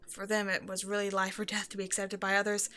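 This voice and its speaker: A woman's voice: medium pitch, completely flat delivery, fast talker, bright, forceful tone, lightly acted. A woman playing a shy, self-doubting young woman who rambles hesitantly in an intimate one-sided roleplay conversation with a stranger. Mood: nervous, chatty. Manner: hesitant, apologetic, nervously overthinking, giggly.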